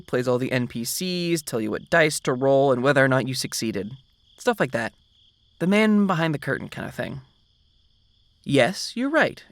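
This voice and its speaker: A young man in an ASMR roleplay as a boyfriend, speaking in a gentle, reassuring, soft-spoken way close to the microphone. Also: fairly high pitch, dry recording.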